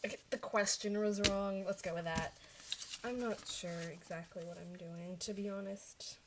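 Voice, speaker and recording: A young woman, a native Chinese speaker, talks at an ordinary middle pitch as she works through her study notes on a livestream. She mutters, second-guesses and corrects herself as she goes. A faint background hiss sits under her desk microphone. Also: steady tone, moderate pace. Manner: mutters to herself while checking answers, self-correcting.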